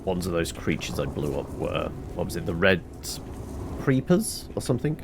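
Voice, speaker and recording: A man's voice: sits low, very animated, moderate pace, gravelly, background noise. A man giving casual, chatty video game commentary, playfully thinking aloud to himself.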